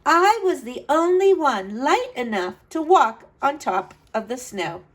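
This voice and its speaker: A woman's voice: sits high, very animated, measured pace. A woman reading a storybook as an earnest, adventurous young boy who tells his own tale dramatically. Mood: determined.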